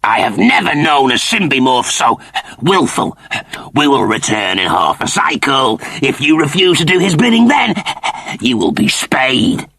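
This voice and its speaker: A man voicing an angry, bullying alien guard in an audio story, barking menacing commands in a harsh, strained rasp. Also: mid-range voice, sing-song, measured pace, sharp tone, pitched above the performer's own voice, full character voice. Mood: threatening.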